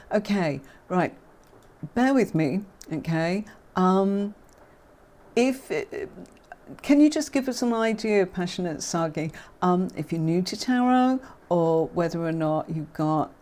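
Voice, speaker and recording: A woman's voice: fairly low pitch, warm tone. A woman from Yorkshire hosting a live-stream tarot lesson, chatty and gushing, reading out viewers' comments. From Yorkshire, England. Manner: effusive and chatty, many gushing compliments.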